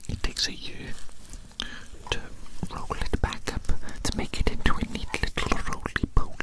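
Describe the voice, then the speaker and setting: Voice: moderate pace, low-quality recording. A person whispering breathily in an ASMR roleplay as a fussy, fascinated doctor, soothingly repeating short phrases. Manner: soothing, repetitive, murmuring phrases over and over. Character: fussy, fascinated doctor studying a little creature. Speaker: ASMR performer playing a doctor measuring a specimen.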